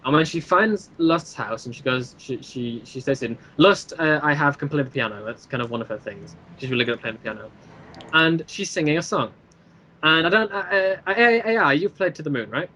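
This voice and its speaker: A man's voice: on the high side, animated, brisk, pushed and bright, slight background noise, poor audio. A man chatting casually as an interview guest, explaining his series in a rambling, eager way. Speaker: guest, creator of an audio drama series.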